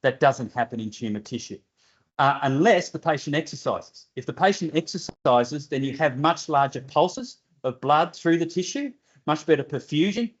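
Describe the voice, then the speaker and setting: A man's voice: a little high, expressive, normal speed. Older aussie guy answering seminar questions, blunt and dry, muffled lecture audio. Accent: Australian. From Australia. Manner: plainspoken, direct, with dry humour. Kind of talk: seminar Q&A explanation. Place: university seminar room.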